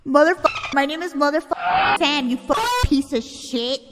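A woman's voice: high-pitched, normal speed. Shrieky, foul-mouthed young woman streamer. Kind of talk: live reaction stream. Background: music.

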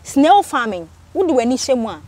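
A young Ghanaian woman interviewing a farmer outdoors, brisk and full of quick affirmations. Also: sits high, sing-song, a little mellow, a little hiss or hum. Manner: brisk, frequent short affirmations. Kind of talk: casual field interview. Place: outdoors on a farm under trees.

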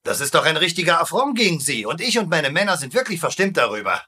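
An actor playing a sly, smooth-talking prisoner-of-war colonel in a sitcom dub, wry and mock-flattering. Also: male voice, a little high, fast talker, full character voice. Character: cocky, sly American POW colonel, smooth-talking schemer. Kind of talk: dubbed sitcom acting.

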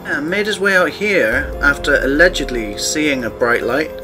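Man as a higher-pitched, solemn veteran cop. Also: moderate pace, close to an everyday voice. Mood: troubled. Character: shaken veteran male police officer, solemn. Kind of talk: horror comic dub acting. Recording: music behind the voice.

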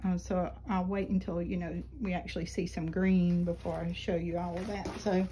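A middle-aged woman chatting casually about her seedlings in a small greenhouse, unhurried and rambling with many ums, filmed on a handheld phone. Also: on the low side, little pitch movement, dark tone, slight background noise, poor audio. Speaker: flower farm vlogger. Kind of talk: casual gardening vlog. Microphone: phone camera held while filming.

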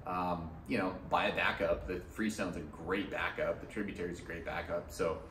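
A man in his thirties talks loosely to a camera about the river and the shop, with lots of ums and restarts and a teasing edge. His voice sits a little low. The sound is roomy and set back from the mic, with a faint hum underneath. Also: steady tone, moderate pace, a bit bright, distant mic. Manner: loose and chatty, teasing, with many fillers and restarts. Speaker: fly shop owner giving a fishing report. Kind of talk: casual fishing report to camera. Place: small fly shop with hats and rods on the walls. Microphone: camera a few feet away.

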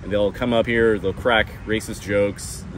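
A man's voice: fairly low pitch, steady tone, unhurried. A man in his thirties with an American accent, talking bluntly and casually to camera in a park. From California. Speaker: vlogger. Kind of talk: candid talk to camera. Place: sunny city park outdoors. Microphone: wireless clip-on mic, camera on tripod. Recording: outdoor park with traffic noise.

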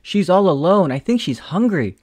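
A man's voice: high-pitched, sing-song, normal speed, darkish tone, breathy edge, no echo, pitched above the performer's own voice, heavily acted. A man voicing an eager, sensitive little girl in a children's story, speaking earnestly and from the heart.

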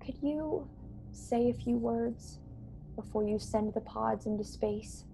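A young woman playing an earnest, courteous starship officer in a radio play, measured, polite and gently persuasive. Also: a little high. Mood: polite, solemn. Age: young adult. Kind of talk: radio play acting over video call. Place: small bedroom, indoors. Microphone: earbuds on a video call. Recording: clear background noise on video call.

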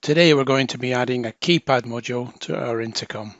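A man's voice: medium pitch, fairly quick, fairly bright tone, no room sound, highs cut off. A man narrating a hands-on technical tutorial as a trainer, explaining informally step by step.

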